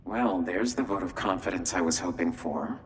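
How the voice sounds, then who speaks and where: Man playing a deep-voiced, self-important wry game character. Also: moderate pace, close to an everyday voice. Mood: sardonic. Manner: pompous, sardonic, theatrical. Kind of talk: video game dialogue acting. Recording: long reverb, rumbling background noise.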